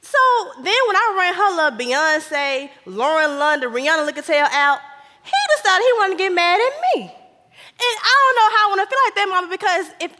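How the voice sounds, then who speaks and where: An actress plays an exasperated grown daughter and wife in a stage play, American, high-voiced and very animated. She pleads with dramatic emphasis, her voice ringing around an echoey theater hall. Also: brisk, full character voice. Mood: indignant. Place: live stage in a reverberant hall.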